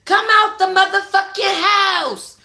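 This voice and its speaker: A woman's voice: very high voice, steady tone, slow pace, pushed and bright, echoey room, voice pushed up, full character voice. A woman voicing a furious, scorned young woman who screams taunts in a foul-mouthed, confrontational way, in an audiobook-style story reading. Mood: enraged.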